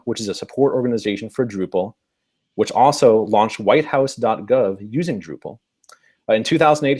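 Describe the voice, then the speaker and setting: A man hosting an interview, welcoming the audience and introducing his guest formally. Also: lowish voice. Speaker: interviewer, host. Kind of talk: hosted interview.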